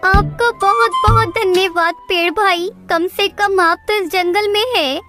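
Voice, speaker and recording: A poor, timid little female bird in a children's cartoon. The voice is extremely high and childlike, with an earnest, polite, pleading manner. Music plays behind it. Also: steady tone, unhurried, bright, forceful tone, heavily acted. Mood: sorrowful, helpless. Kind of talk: Hindi children's cartoon dubbing.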